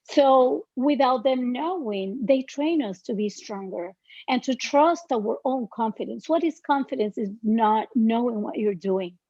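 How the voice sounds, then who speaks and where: A woman from the Dominican Republic with a Dominican Spanish accent, a podcast guest who talks in an earnest, reflective way with many pauses and fillers. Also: fairly high pitch, a little mellow, no room sound, highs cut off. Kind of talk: podcast interview.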